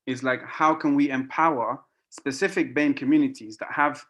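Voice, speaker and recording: Hesitant man, flat and even. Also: mid-range voice, moderate pace. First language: Spanish. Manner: hesitant, many fillers. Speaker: meeting participant. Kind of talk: casual contribution to an online council meeting.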